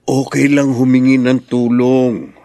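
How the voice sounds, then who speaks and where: A man's voice: mid-range voice, level tone, measured pace, warm tone, dry recording, natural voice. Man as older Filipino dad, caring and probing, dry close studio sound, radio drama. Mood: concerned. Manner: caring, questioning, paternal. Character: concerned older father, gently probing his grown daughter.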